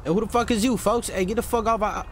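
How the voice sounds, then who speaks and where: A young man with a fairly high voice plays out street-gang roleplay in a game. He talks in brash, repetitive slang full of swearing. Clear car and game noise runs behind his voice. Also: steady tone, fast. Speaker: streamer playing a gangster roleplay game.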